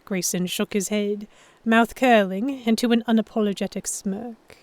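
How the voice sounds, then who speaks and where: A woman reads first-person narration for a romance audio drama and acts out the dialogue. Her pitch sits in the middle range. The sound is dry, with no room around it. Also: fairly even intonation, normal speed, no room sound. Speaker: narrator and lead actor.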